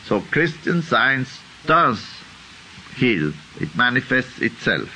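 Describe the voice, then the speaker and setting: A man's voice: medium pitch, big pitch swings, slow pace, bright, forceful tone, a little hiss or hum, low-quality recording. An older man with a Swiss accent giving an unscripted lecture, thinking aloud and tagging points with a questioning "huh?". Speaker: teacher.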